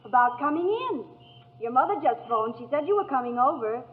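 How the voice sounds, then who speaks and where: An actress playing a pert, teasing young small-town sweetheart in a 1940s radio drama. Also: female voice, sits high, brisk, slightly rough. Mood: teasing, coaxing. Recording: tinny vintage radio, long reverb.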